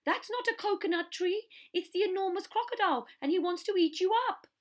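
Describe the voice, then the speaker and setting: A woman as a loud, frantic shouting hippo. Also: very high-pitched, brisk, pitched above the performer's own voice. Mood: urgent alarm. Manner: urgent shouting. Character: huge charging hippopotamus, frantic protective shouter. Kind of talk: children's storybook read-aloud.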